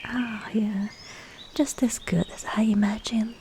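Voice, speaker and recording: A woman playing a scheming, seductive witch in a whispered ASMR roleplay, breathy and teasing, with falsely soothing reassurance. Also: on the high side, steady tone, measured pace, mellow, slight background noise.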